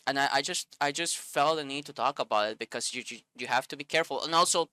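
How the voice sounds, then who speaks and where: A man's voice: mid-range voice, quick pace, pushed and bright, smooth, no room sound. A man hosting a Bible video who reads a scripture passage and then talks it over casually and earnestly, a bit sniffly and often searching for his words.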